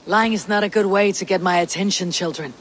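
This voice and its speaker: A woman's voice: medium pitch, a little hiss or hum, lightly acted. Woman as a composed warrior leader. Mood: wry. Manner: measured, commanding, dry.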